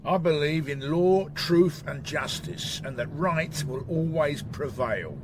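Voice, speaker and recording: An actor playing a grumpy, bullying, gluttonous chief inspector in a radio drama, blunt, sarcastic and pompous. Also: male voice, on the high side, animated, slow pace, background noise. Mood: scornful. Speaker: senior police detective. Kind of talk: BBC radio drama acting.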